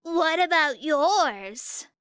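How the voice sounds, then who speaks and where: A woman's voice: animated, very slow, fairly bright tone, dry recording, full character voice. A woman voicing a grating, mock-innocent small child, creepily sweet and taunting, in an audiobook. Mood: mocking, fake-innocent. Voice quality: high, grating. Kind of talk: audiobook character dialogue.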